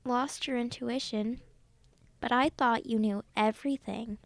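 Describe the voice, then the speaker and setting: An actress plays a caring young female college friend, speaking gently and sensibly in a slightly high voice. The sound is dry and close, like a conversational audio drama. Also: fairly even intonation, measured pace, no room sound. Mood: gentle, caring. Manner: gentle, reassuring.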